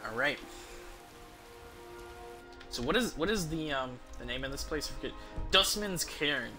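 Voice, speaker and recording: A man's voice: on the high side, animated, unhurried, sharp tone, faint music behind. A man giving casual, rambling gameplay commentary as a game streamer, with some swearing.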